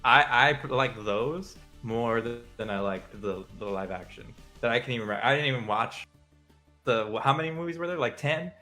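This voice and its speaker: Guy cohost on a stream, bright forward voice, just chatting, music in back. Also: medium pitch, normal speed. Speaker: co-host of a live stream. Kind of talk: casual chat.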